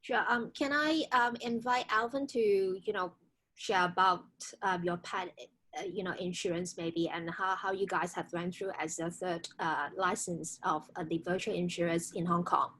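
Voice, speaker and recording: A woman's voice: on the low side, steady tone, normal speed, fairly bright tone, rough recording. A woman moderating a webinar panel, casual and loose, with many "um" and "you know".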